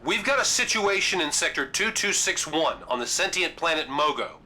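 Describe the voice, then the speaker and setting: A commanding space-corps officer, very bright and forceful and fairly high. He gives urgent military orders in video game style, with faint noise behind. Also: lively intonation, moderate pace. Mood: urgent, stern.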